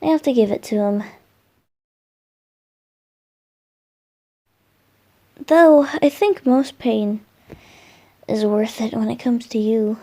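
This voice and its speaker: Slow, soft boyish wind god voiced by a woman. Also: on the high side. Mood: teasing, affectionate. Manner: soft, musing, hesitant, affectionate. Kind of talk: roleplay ASMR, intimate one-sided dialogue to a listener.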